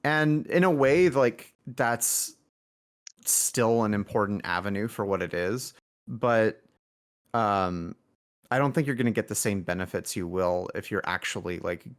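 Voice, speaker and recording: A man's voice: fairly low pitch, a little slow. A man chatting casually as an interview guest, thinking aloud in a rambling way. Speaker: indie game developer, podcast guest.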